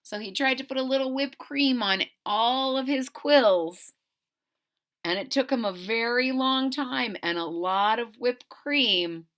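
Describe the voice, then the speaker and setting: A woman reading a children's story aloud with exaggerated, drawn-out emphasis and chatty asides to her listeners. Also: fairly high pitch, a bit bright. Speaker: storybook read-aloud host.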